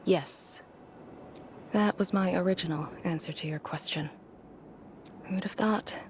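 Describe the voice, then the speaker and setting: An actress voicing a melancholy, quietly resolute young woman in a game, breathy, subdued and reflective. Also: lowish voice, a little slow, slight background noise, lightly acted. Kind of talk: scripted game voice acting. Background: prominent music.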